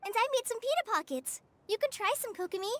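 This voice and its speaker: A woman's voice: extremely high pitch, expressive, fast, fairly bright tone, no room sound. A woman voicing a sweet, eager and politely shy young girl in a video game, with a bubbly manner. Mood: cheerful, eager. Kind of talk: cartoonish game voice acting.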